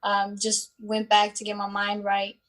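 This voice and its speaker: A woman in her twenties, born in Bosnia and Herzegovina, answering interview questions in an unhurried way with many pauses and fillers. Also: medium pitch, completely flat delivery, a bit bright. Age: 20s. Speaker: guest, student athlete.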